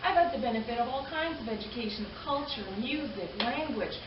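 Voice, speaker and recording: Earnest woman, forties, Canadian-raised, heartfelt speaker; slightly high, distant mic, dull lo-fi sound. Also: brisk. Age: 40s. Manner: earnest, heartfelt, repeats phrases for emphasis. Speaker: panel speaker. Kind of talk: personal talk to a gathering. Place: community arts event hall. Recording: echoey room, distant mic, dull sound, background noise.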